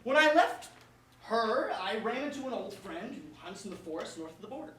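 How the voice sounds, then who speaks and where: Woman playing lively teasing jester, echoey and distant. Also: fairly high pitch, normal speed. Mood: conspiratorial. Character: teasing, flirtatious male court jester, quick-witted. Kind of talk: staged reading of verse comedy. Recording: distant echoey room with faint noise.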